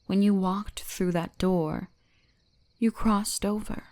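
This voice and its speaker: A woman voicing a teasing, gently dominant kitsune shrine maiden in an intimate ASMR roleplay, often slipping into whispers. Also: medium pitch, fairly even intonation, takes it slowly, no room sound, natural voice. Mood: gentle, possessive.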